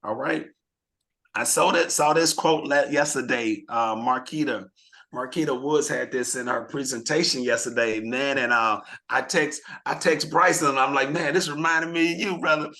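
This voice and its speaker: A slightly hoarse man hosting a team video call, rallying the group with questions in an energetic, motivational way. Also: medium pitch, moderate pace. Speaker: team leader hosting a real estate mastermind call.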